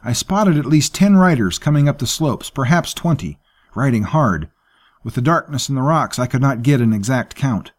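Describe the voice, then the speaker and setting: A panicky, deferential young manservant bursts in with breathless reports, a man putting him on high and tense. He sounds fearful of his master and is always in a hurry. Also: expressive, normal speed, close to an everyday voice. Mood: urgent. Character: frantic young male servant, anxious, deferential.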